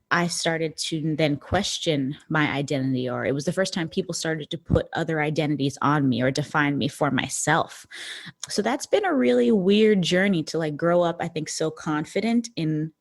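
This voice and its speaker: Low-voiced New woman, reflective. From New York City, the Bronx. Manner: reflective and earnest, thinks aloud with fillers. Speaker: podcast guest. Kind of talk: casual conversation.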